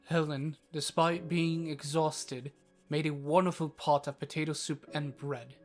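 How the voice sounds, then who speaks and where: A man's voice: a little high, flat delivery, a little slow, soft background music. A man reading scripted narration for a fantasy audio drama, recounting the tale in the third person.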